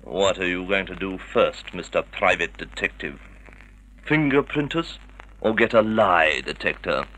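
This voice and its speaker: An actor playing a smug, defiant lover with a theatrical, sneering manner in a vintage radio drama. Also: male voice, sits low, expressive, measured pace, a bit bright. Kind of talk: 1950s radio drama acting.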